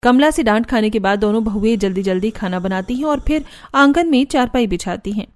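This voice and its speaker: A woman's voice: on the high side, a little fast, no room sound. A woman giving scripted narration for a cartoon family story.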